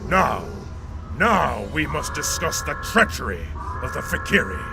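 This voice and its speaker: An actor playing a legendary alien warrior emperor in a video game, proud and vengeful, speaking in a solemn, grandly declamatory way. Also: male voice, fairly high pitch, very animated, unhurried, creaky, heavily acted. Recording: music behind the voice.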